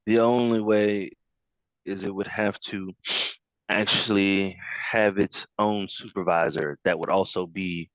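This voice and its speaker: A man in casual group discussion over an online call, talking in animated bursts with many fillers and laughs. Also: low-pitched, a little slow, dry recording, muffled recording.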